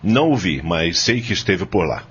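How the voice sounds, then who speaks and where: A man plays a hard, self-assured Brazilian coffee farmer in a radio play. His voice is low and extremely raspy, with animated pitch movement. He answers assertively in formal words. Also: moderate pace, heavily acted. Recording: dull, lo-fi audio.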